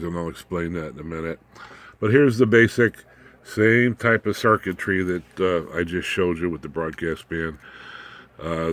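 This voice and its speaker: A man in his seventies with an American accent and a low-pitched voice talks off the cuff as a hobbyist showing his work in a home workshop. He rambles in a folksy, unscripted way. He often turns aside to the viewer with self-deprecating humour. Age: 70s. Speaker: ham radio hobbyist and video host. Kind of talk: casual unscripted workshop demonstration.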